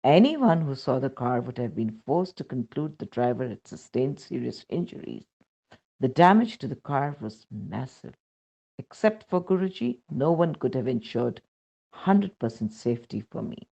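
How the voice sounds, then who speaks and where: Husky, animated Indian woman reading aloud. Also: a bass voice, moderate pace, dull audio. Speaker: reader of a devotional testimony. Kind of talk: scripted narration, reading aloud a first-person spiritual account.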